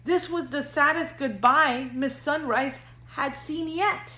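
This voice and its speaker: A woman school counselor speaking to young children in a gentle, singsong read-aloud storytelling manner. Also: sits high, slow pace, echoey room.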